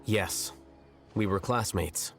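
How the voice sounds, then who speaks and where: A man's voice: lowish voice, takes it slowly, creaky, a bit bright, full character voice. A man playing an aloof, rational young scholar in an anime game, terse and detached. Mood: cool, curt. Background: music.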